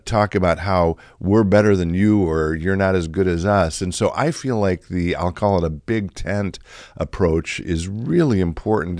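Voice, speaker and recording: A man in middle age with a low, slightly raspy voice talks to his listeners like a pastor in a podcast, circling back with "um" and restarts. The recording is dry and close, with no room around him. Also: a little mellow, dry recording. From Chicago area, USA. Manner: conversational, many fillers and restarts. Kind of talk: reflective podcast talk.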